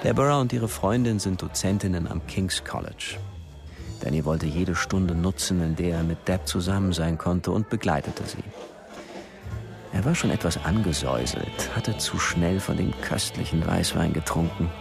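Radio thriller lead: a dry, observant storyteller with a low, creaky voice over music. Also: male voice, normal speed. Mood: dry, wry. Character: wry, observant male narrator in a spy thriller. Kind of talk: scripted radio drama narration.

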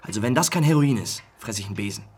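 An actor playing a brash, shifty young trucker in a German radio crime drama, talking brusquely in pushy slang. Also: male voice, mid-range voice, expressive, rapid-fire, darkish tone, husky. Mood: insistent. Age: young adult.

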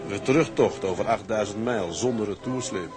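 An actor playing a weary, plain-spoken tugboat captain who talks in a reflective, measured way in dramatic dialogue. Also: male voice, fairly low pitch, lightly acted. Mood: sober, reflective. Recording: music behind the voice.

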